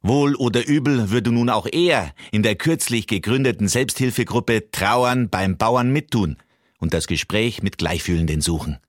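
An actor playing a deadpan, matter-of-fact narrator of earthy absurd tales in a radio play. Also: male voice, on the low side, normal speed, no echo, close to an everyday voice.

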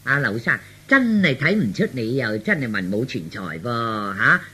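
A man voicing a gossipy, tipsy middle-aged aunt, flattering and frank, chatting with drawn-out interjections in Cantonese radio drama. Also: mid-range voice, husky, heavily acted. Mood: flattering, delighted.

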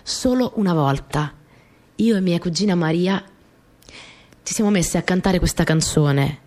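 Radio play lead: actress as young Italian wartime courier, slightly low female voice, earnest and dreamy, simple reminiscent delivery. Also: measured pace, a little mellow, close to an everyday voice. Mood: fond, lively. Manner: simple, conversational, reminiscent storytelling with short phrases. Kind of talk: radio theatre monologue, intimate first-person storytelling.

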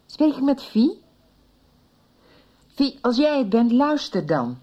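A woman's voice: high voice, very animated, slow, dark tone, highs cut off, full character voice. An actress in a 1990s radio soap playing an anxious, caring woman. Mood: urgent. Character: anxious, caring woman urging a caller to talk.

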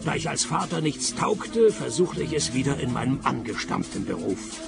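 Gravelly, sardonic radio detective. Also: male voice, medium pitch, normal speed, loud music behind the voice. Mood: wry. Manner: deadpan, sardonic. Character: wry, world-weary male private detective narrating his case. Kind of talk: radio play narration and dialogue.

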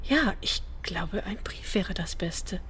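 An actress in a radio drama playing a refined, compassionate lady who speaks politely, earnestly and softly. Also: on the low side, animated, slow, in a whisper, full character voice. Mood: gentle, earnest. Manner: polite, earnest, soft-spoken.

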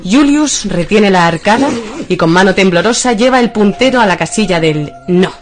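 A woman narrator reading a scripted comic story in a wry, deliberate storytelling manner, with music behind her. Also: medium pitch, lively intonation.

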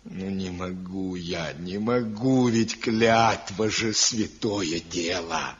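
Deep, slow old village father. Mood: evasive, grumbling. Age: older. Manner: hesitant, grumbling. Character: worried, wavering, crafty old village father. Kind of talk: 1970 radio drama acting. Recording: muffled old radio play recording.

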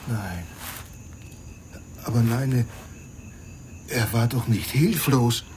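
A man's voice: mid-range voice, lively intonation, extremely slow, noisy background. An actor playing a tender-hearted, loyal man in a radio crime drama, speaking earnestly, hesitantly and with deference. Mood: shocked, grieving.

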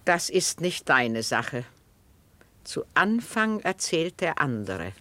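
An actress playing a calm, musing knitter who probes gently and insistently, speaking deliberately and lyrically in a literary radio drama. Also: female voice, low voice, no echo, exaggerated voice. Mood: calm, probing. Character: calm, musing, probing listener absorbed in knitting.

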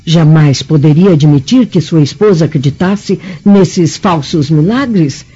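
Stern snooty roman matron in a radio play, brazilian accent, husky mellow voice, muffled sound. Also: female voice, sits low, animated, exaggerated voice. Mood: scornful. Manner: formal, theatrical, measured, disapproving. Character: stern, scornful Roman noblewoman, mature, haughty traditionalist. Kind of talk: radio drama acting. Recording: dull, muffled radio drama recording.

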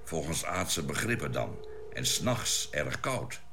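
An actor playing a thoughtful ship's doctor and scientist in a Dutch science-fiction radio drama, explaining things deliberately. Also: male voice, extremely low pitch, very animated, vocal fry, heavily acted. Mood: thoughtful, explanatory. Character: measured, thoughtful male scientist-doctor aboard a spaceship. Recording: noisy old radio drama recording.

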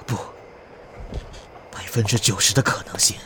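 An actor playing an anxious man trapped in a horror drama, reasoning tensely to himself in deliberate inner monologue. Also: close to an everyday voice. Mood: anxious, calculating. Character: anxious male player trapped in a ghost task. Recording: noisy train ambience. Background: train rumble.

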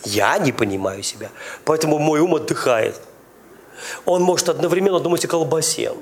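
Pastor, male, high voice, animated preaching, quizzes the congregation. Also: a little fast, slight background noise. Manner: lively preaching with questions to the congregation; speaks in tongues. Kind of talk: sermon.

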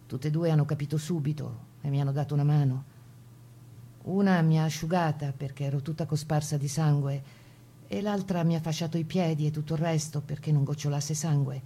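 Actress as woman partisan, lowish voice, plain measured storytelling, radio drama monologue, first-person testimony. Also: dark tone, close to an everyday voice. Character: Italian woman partisan recounting wartime escape and sabotage.

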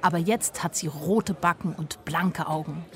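A woman's voice: lowish voice, a little slow, close to an everyday voice. An actress narrating a radio drama as a watchful young woman, matter-of-fact and observant. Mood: dry, observant. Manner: matter-of-fact, observant, short plain sentences.

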